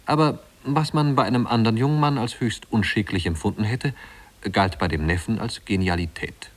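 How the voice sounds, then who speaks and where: Guy narrating old german radio play, low voice, composed articulate storytelling. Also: brisk.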